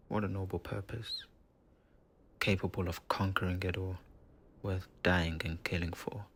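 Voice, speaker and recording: Guy doing evil possessing demon spirit, super low husky gravel, mocking and menacing, slow dramatic pauses, fantasy audio roleplay. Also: fairly bright tone, full character voice. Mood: sardonic taunting. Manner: theatrical, taunting, deliberate with dramatic pauses. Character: possessing dark spirit villain, mocking, menacing, bitterly obsessive.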